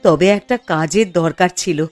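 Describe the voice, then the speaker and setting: A woman's voice: fairly low pitch, moderate pace, darkish tone, lightly acted. A woman voicing a humble, deferential housemaid and mother who speaks guardedly in a cartoon. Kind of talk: Bengali moral-story cartoon dubbing. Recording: music under the voice.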